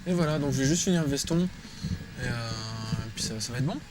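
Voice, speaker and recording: A man's voice: medium pitch, animated, fast, noisy background. A man giving a casual, improvised tutorial, thinking aloud informally as he works. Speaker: drawing tutorial presenter. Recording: music playing behind the voice. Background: loud music.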